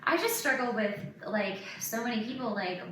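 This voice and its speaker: A very echoey, slightly distant recording of a woman with a bright, forward voice. She talks as a podcast host in a candid, confessional way, stressing her points and sprinkling "like" through casual sentences. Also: on the high side, normal speed. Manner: candid, emphatic, peppers speech with "like". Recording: roomy echo, distant mic, faint noise.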